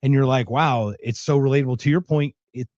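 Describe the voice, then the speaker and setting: A man's voice: medium pitch, brisk, a little mellow, no room sound, muffled recording. A man hosting a casual podcast conversation in an enthusiastic, effusive way, often repeating himself for emphasis. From Philadelphia, United States. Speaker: podcast host.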